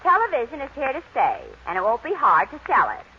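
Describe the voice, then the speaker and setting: A woman's voice: sits high, very animated, a little fast, husky, heavily acted. A woman with an American accent playing a teasing, wisecracking sidekick with punchy delivery in 1940s radio comedy. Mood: reciting playfully. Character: teasing, wisecracking woman who needles the vain star. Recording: tinny band-limited 1940s radio recording, faint noise.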